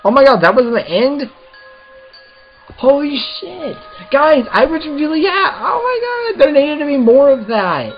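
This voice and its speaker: A man's voice: extremely high pitch, sing-song, measured pace, dull audio, soft background music. A man doing a casual let's play, reading visual novel text aloud and commenting as the host of a gaming video.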